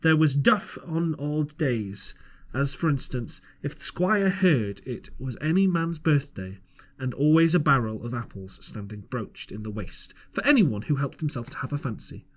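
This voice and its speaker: A man's voice: medium pitch, animated, normal speed. Man reading an adventure story aloud. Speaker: amateur audiobook narrator. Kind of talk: scripted storybook narration.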